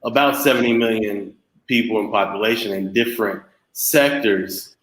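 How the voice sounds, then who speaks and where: A man's voice: lowish voice, moderate pace, a bit bright, slight background noise. A man explaining things in a casual chat, in a hesitant, piecemeal way. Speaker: panel guest. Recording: echoey room.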